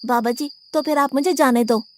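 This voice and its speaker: A woman voicing a naive, gluttonous, blunt young male disciple in an animated moral story, artless and cheeky. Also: high-pitched, moderate pace, slight background noise, close to an everyday voice.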